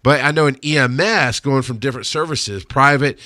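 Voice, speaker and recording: A man in his thirties hosting a podcast, chatty and quick to laugh. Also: mid-range voice, sharp tone, no echo, studio quality. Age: 30s. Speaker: podcast host. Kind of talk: casual podcast chat.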